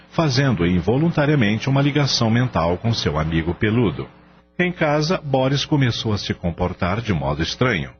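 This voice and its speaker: Male storyteller for radio drama, lively intonation, slightly muffled sound with music underneath. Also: lowish voice. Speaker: narrator. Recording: dull radio-like audio, music behind.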